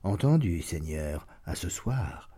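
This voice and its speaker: A man voicing a meek, nervous male wolf who speaks in a hushed, deferential way. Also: medium pitch, expressive, moderate pace, close to an everyday voice.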